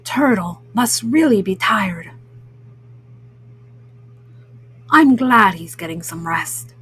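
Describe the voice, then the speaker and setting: Woman doing a low-voiced, laid-back storybook tomcat. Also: expressive, a little slow, a bit bright, husky, exaggerated voice. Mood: mellow, caring. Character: easygoing, kindly male cartoon cat, cool and encouraging.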